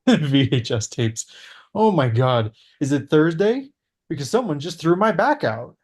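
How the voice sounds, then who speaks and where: A man's voice: fairly high pitch, big pitch swings, unhurried, a little mellow, breathy edge, exaggerated voice. Man reading an earnest, rambling man. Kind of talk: table read.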